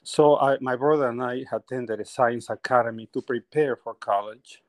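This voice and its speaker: A man's voice: mid-range voice, moderate pace, dry recording. A middle-aged man from Peru, a painter telling his life story as a guest in a conversational interview, often laughing as he talks.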